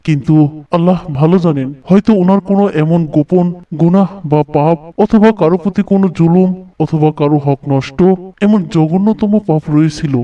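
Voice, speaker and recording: An actor playing a solemn, fatherly village imam who counsels in a measured way, repeating himself for emphasis. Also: on the high side, warm tone, far from the mic, lo-fi sound, lightly acted.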